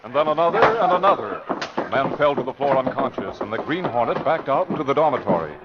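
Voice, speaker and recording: A man's voice: mid-range voice, lively intonation, fast talker, very noisy. A man narrating as announcer of a 1950s radio drama, with emphatic, theatrical delivery. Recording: dull old radio broadcast recording.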